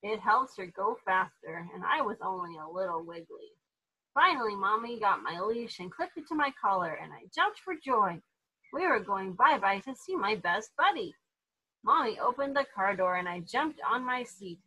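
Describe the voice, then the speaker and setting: A woman voicing an innocent, excitable young male dog in a children's storybook, wide-eyed and childlike. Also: medium pitch, normal speed, husky, poor audio. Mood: excited, happy.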